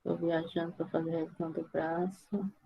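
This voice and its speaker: A woman's voice: lowish voice, little pitch movement, moderate pace, vocal fry, muffled recording, slight background noise. Brazilian lady teacher on a video class, chatty, keeps asking her students stuff. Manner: questioning, checking on students. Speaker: mathematics teacher. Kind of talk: informal online class teaching. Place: live online video class.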